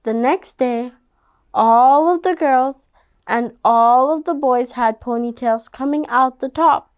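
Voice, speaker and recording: A woman's voice: high-pitched, slow pace, smooth. A woman reading a picture book aloud to children, conversational and speaking directly to her young listeners. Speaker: storybook reader.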